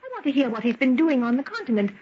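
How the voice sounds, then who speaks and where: A woman's voice: high-pitched, sing-song, fast. A woman playing an eager, genteel young society daughter in an old radio drama. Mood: delighted, fond. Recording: dull, faintly noisy vintage radio recording.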